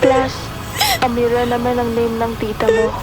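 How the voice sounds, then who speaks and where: A woman's voice: fairly high pitch, sing-song, moderate pace, heavy background noise. A woman playing a loving expectant mother talking to her baby in an audio drama, tender and sentimental, with a Filipino accent. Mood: joyful, sentimental.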